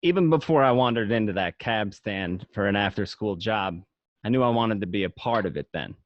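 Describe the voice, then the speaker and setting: A man's voice: on the low side, lively intonation, a little fast, no echo, highs cut off, close to an everyday voice. A man playing a gangster who looks back on his youth, narrating in a reflective, matter-of-fact way for an amateur radio drama. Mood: nostalgic, proud.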